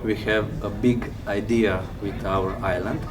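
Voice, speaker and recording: Man, Croatian accent, slow and hesitant, low voice, far from the mic, loud noise. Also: fairly even intonation. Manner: hesitant, searching for words. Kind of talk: documentary interview.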